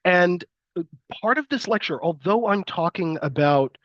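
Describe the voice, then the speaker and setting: A man's voice: sits high, lively intonation, measured pace, no room sound, dull audio. A man with a cold, sniffly and congested, giving a live-streamed university lecture with frequent fillers and restarts. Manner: hesitant, many fillers and restarts.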